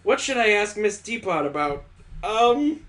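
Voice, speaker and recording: A man's voice: sits high, very animated, measured pace, a bit bright. A man hosting a gaming video, reading a visual novel aloud expressively with casual asides.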